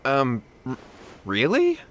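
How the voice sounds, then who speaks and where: Anxious young martial artist for a fantasy game: highish voice, respectful, flustered, earnest. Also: male voice, lively intonation, measured pace, a bit bright, slight background noise. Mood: flustered, deferential. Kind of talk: anime video game voice acting.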